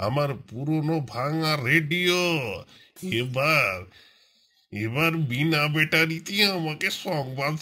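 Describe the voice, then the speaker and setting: A man's voice: on the high side, pushed and bright, exaggerated voice. A man voicing a lazy old grandfather in a cartoon, wheedling and teasing as he talks. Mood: mocking. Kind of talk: cartoon voice acting.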